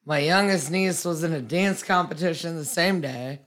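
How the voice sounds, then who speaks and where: A woman with a husky voice in casual podcast chat, blunt and swearing freely, with many "like" fillers. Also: low voice, normal speed, very noisy.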